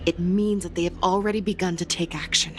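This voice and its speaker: Woman as a low-voiced, shrewd commander. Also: steady tone, fairly quick, lightly acted. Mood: composed, resolute. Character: shrewd, composed female military commander. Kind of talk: video game voice acting. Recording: music under the voice.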